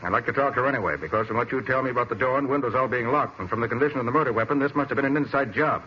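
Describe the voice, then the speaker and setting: A man playing a brisk, commanding private detective in an old-time radio mystery, speaking in a clipped, decisive way. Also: mid-range voice, close to an everyday voice. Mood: firm, businesslike. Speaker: actor in a radio detective drama. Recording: muffled, noisy vintage radio recording.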